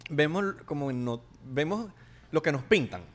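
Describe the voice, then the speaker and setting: A man's voice: fairly high pitch, animated, moderate pace. A man hosting a casual podcast, asking loose joking questions in slang. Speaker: podcast host. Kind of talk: casual interview chat.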